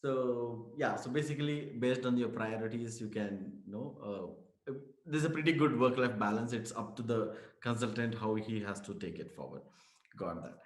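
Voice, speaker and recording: Echoey male interviewer, medium pitch. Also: normal speed. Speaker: interviewer, host. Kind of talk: conversational interviewing. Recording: echoey room sound.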